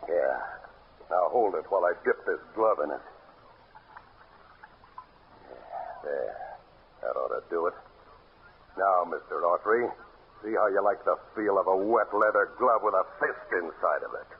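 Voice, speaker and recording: A man's voice: low voice, moderate pace, long pauses. A man playing a sly, menacing ex-convict in an old-time radio western, with slangy tough-guy talk. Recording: muffled, noisy old radio recording.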